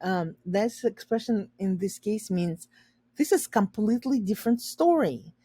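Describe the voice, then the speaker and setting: Slow-paced woman reading a story aloud. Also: mid-range voice, expressive, mellow, breathy edge, dry recording. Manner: explanatory, pausing to define words simply. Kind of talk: read-aloud with simple word explanations for learners.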